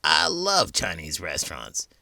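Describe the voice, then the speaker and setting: Man doing a slow, gruff, hungry tiger. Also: extremely low pitch, huge pitch swings, noisy background, pitched below the performer's own voice, full character voice. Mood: hungry, eager. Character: ravenous, food-loving tiger puppet sidekick. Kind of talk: comic children's show banter.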